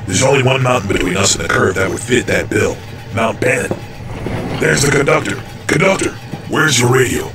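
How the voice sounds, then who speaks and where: A man's voice: medium pitch, normal speed, close to an everyday voice. A man playing a resolute crime-fighting hero in old-time radio drama, urgent, terse and authoritative. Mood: urgent, deducing. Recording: roomy sound with music behind.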